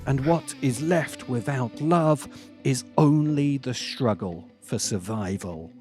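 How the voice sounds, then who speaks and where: A man narrating a scripted video essay as its host, speaking deliberately with dramatic pauses and emphasis. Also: medium pitch, darkish tone, faint music behind. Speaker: host of a film commentary channel.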